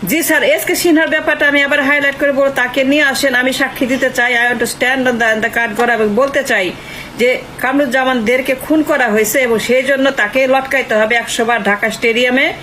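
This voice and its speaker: A woman's voice: high voice, steady tone, brisk, pushed and bright. An older woman with a Bangladeshi accent talks earnestly and emphatically on a phone call, repeating herself and dropping in English phrases. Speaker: writer and activist on a phone call. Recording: noisy phone call recording.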